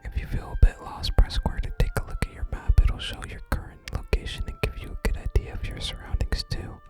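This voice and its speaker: A person whispering soft, unhurried ASMR commentary while playing a video game and reading out its text. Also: loud music behind the voice.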